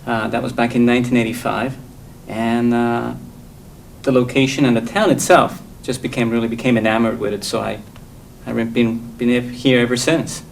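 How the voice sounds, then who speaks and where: A man from El Salvador with a deeply gravelly voice answers questions as a guest poet. He speaks thoughtfully and haltingly, over faint noise. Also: flat delivery. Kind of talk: interview answers.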